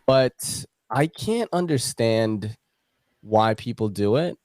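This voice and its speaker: A man's voice: mid-range voice, animated, slow pace, a little mellow, no room sound. A man from the Philippines who moved to America as a child, hosting a casual podcast chat in a jokey, slangy, swearing way. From from the Philippines, moved to America at seven. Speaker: podcast host.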